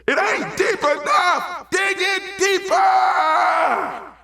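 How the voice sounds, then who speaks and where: Excitable, squeaky-high trickster rabbit. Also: male voice, slow pace, creaky, no room sound, clean studio sound, a faint crowd, full character voice. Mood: hollering. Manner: hollering folksy Southern storybook talk.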